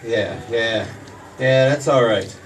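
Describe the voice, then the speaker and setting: A man's voice: medium pitch, slow pace, slight background noise, close to an everyday voice. An actor playing an awkward, stubborn young small-town man with stammering, folksy hesitations in an old-time radio play. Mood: awkward, defensive. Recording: distant stage mic.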